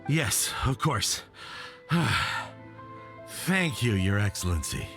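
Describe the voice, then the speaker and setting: A jittery merchant, pleads his case in a bright, tense voice that jumps up and down. He stammers and fumbles over his words, eager to please, with game music playing faintly behind him. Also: lowish voice, big pitch swings, extremely slow, creaky, exaggerated voice. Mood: relieved. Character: nervous male merchant, anxious and pleading. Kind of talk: game voice acting.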